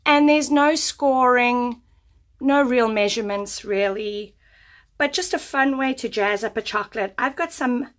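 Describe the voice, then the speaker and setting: A woman's voice: a little high, a bit bright. A woman demonstrating a paper craft who is losing her voice, giving chatty step-by-step instructions at her craft table. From United States. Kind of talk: craft tutorial.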